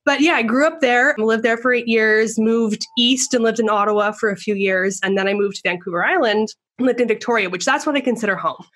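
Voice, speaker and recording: A woman's voice: fairly high pitch, brisk, hardly any pauses, a bit bright. A middle-aged woman from Canada, a podcast guest chatting in an upbeat, easy way and laughing often. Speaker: guest, Instagram consultant. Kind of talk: casual interview chat.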